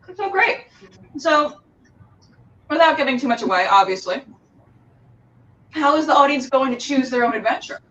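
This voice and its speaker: A woman's voice: sits high, animated, moderate pace, long pauses, fairly bright tone, lo-fi sound. A woman hosting a casual interview, chatting with effusive enthusiasm. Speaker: interviewer and show host. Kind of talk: casual video interview chat. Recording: distant mic with faint background noise.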